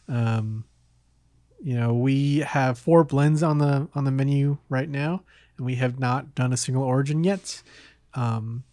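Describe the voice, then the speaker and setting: A man's voice: medium pitch, darkish tone, no room sound, studio quality. A man hosting a casual podcast, talking in a hesitant way as if thinking aloud. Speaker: podcast host.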